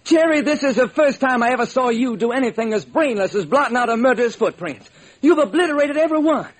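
High-pitched, husky wisecracking police lieutenant. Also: male voice, animated, quick pace, low-quality recording. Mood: mocking. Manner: wry, slangy, grumbling. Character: wisecracking, exasperated male police homicide lieutenant. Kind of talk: old-time radio detective drama acting.

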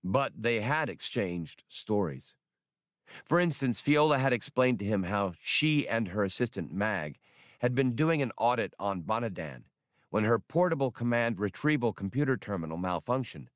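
Male audiobook reader for science fiction, fairly low voice, dry studio-like sound. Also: animated, moderate pace, no echo. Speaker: audiobook narrator. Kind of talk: scripted narration of a science fiction novel.

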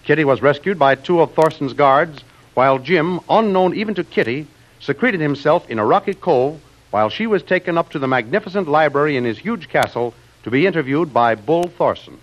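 A man's voice: fairly high pitch, lively intonation, brisk, hardly any pauses. A man narrating a 1940s radio serial as announcer, in a formal, declamatory style. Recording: muffled vintage radio recording.

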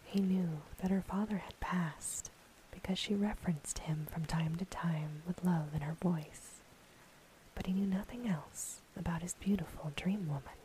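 A woman reading a story in a soft, breathy ASMR whisper, with a gentle, unhurried delivery. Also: fairly low pitch, slight background noise, rough recording. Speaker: bedtime story narrator.

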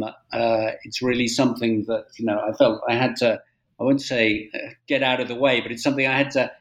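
British male historian, middle-aged, conversational interview guest, measured and hesitant delivery. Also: medium pitch, a bit bright, rough recording. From Britain. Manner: halting, measured, frequent hesitations. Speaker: guest author, historian and journalist.

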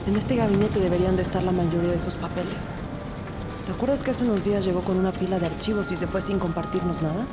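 Actress doing a reflective lady cop narrator, lowish voice, measured dramatic storytelling, crime podcast with music under it. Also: natural voice. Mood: composed. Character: female police detective Urriaga, reflective narrator, composed. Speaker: narrator and detective character. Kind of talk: scripted crime audio drama narration and dialogue.

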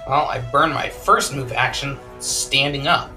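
A man's voice: medium pitch, measured pace, sharp tone, faint music behind. A man playing a tabletop game, joking and bantering with the table. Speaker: player. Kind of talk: casual tabletop play.

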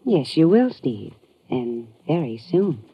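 Coy female travel agent, low, husky voice, inquisitive and suggestive, radio noir. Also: big pitch swings, slow, full character voice. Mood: coy, probing. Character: smooth, probing, flirtatious female travel agent. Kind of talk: old-time radio drama acting. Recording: muffled old radio recording.

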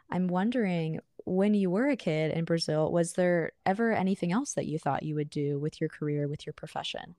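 A woman therapist hosts her own podcast, speaking calmly and softly. Her voice sits a little low, and the sound is dry with no room echo. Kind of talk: scripted intro then interview.

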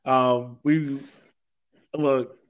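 A man's voice: mid-range voice, little pitch movement, drawn-out words. A man hosting a sports podcast, chatting in an informal, colloquial way. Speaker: podcast host. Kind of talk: casual sports podcast chat.

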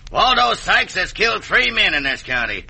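Hard-bitten radio cowboy, American western accent, raspy and slightly high, blunt folksy delivery. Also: male voice, sing-song, moderate pace, sharp tone, full character voice. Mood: frustrated, warning. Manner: folksy, blunt frontier drawl. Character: blunt, gruff Old West man, hard-bitten and wary. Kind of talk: old-time radio western acting.

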